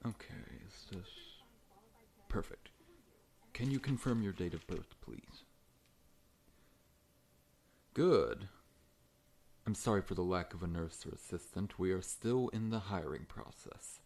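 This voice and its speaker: A man playing a large, friendly scorpion monster dentist in an ASMR roleplay, talking in a gentle, reassuring, professional way. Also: low-pitched, animated, measured pace, vocal fry, long pauses, full character voice. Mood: polite, welcoming.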